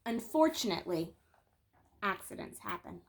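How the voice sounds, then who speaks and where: A woman teacher reading a children's picture book aloud in an expressive, deliberate storytelling manner with dramatic pauses. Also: medium pitch, creaky.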